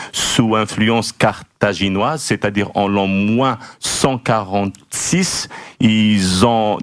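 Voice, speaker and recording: A man on a radio discussion, explaining history slowly and deliberately, with frequent hesitations. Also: medium pitch. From Morocco. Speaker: radio host.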